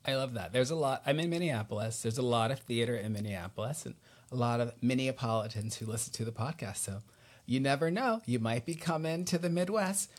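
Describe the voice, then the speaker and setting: A man's voice: medium pitch, fairly quick. A man hosting a podcast in a casual chat, supportive and encouraging toward the person he talks with. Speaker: podcast host.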